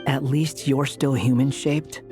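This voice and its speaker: A man's voice: mid-range voice, steady tone, a little slow, natural voice. A man playing a practical young adventurer in an anime game, wry and matter-of-fact. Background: music.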